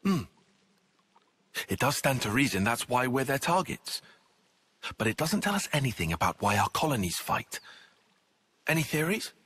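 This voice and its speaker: Cool, analytical young strategist. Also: male voice, mid-range voice, sing-song, moderate pace, pauses often, pushed and bright, husky, no echo, full character voice. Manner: dry, detached, precise. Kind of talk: video game cutscene voice acting.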